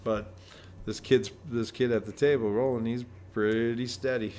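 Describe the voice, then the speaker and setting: A soft-spoken man co-commentating a pool match as a club owner, chatty and quick to laugh. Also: mid-range voice, fairly even intonation, a little hiss or hum.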